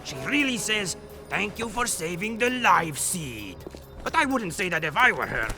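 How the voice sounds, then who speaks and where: An actor playing a snippy talking bird in a cartoon-styled video game, sardonic and haughty. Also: male voice, sits high, sing-song, moderate pace. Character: snippy, sarcastic male talking bird interpreter. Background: music.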